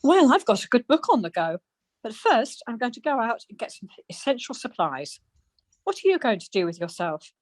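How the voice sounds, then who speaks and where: An actress playing a dry, sarcastic, teasing middle-aged wife in an amateur radio play. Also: female voice, on the high side, big pitch swings, moderate pace, no echo.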